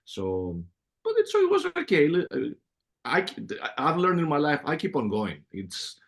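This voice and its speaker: A middle-aged man with a heavy Greek accent, telling his life story in a relaxed interview, full of fillers and restarts. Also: a little high, unhurried. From Greece. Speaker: music producer guest. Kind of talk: casual interview conversation.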